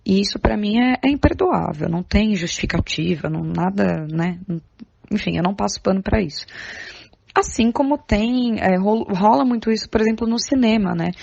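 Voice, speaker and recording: A woman's voice: medium pitch, expressive, moderate pace, gravelly, a little mellow, no room sound, muffled recording. A Brazilian woman speaking informally and earnestly as a podcast guest, with emphatic, conversational delivery. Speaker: podcast guest, theatre artist and art educator. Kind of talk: informal spoken recommendation.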